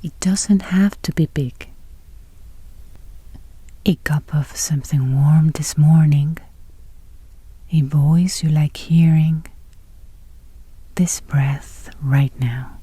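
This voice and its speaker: Slow, whispery woman guiding meditation. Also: low-pitched, no echo. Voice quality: soft, breathy, near-whisper. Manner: gentle, unhurried, soothing. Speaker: meditation guide. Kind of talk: guided meditation.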